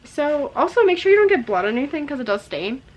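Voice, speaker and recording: A young woman giving a chatty, rambling makeup tutorial, her voice a little hoarse from a cold. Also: sits high, sing-song, quick pace, dull audio. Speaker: makeup vlogger.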